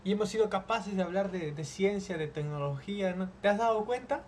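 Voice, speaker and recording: A man's voice: high voice, a little fast, a little hiss or hum. Encouraging male language teacher. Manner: encouraging, supplies missing words. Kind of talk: casual conversation lesson.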